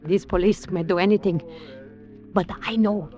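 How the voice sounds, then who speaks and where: Actress as bold seductive adventuress in vintage radio drama. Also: female voice, mid-range voice, moderate pace, lo-fi sound, natural voice. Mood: intimate, commanding. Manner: theatrical, formal, flattering phrasing. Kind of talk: old-time radio adventure acting. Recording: old radio recording with music behind.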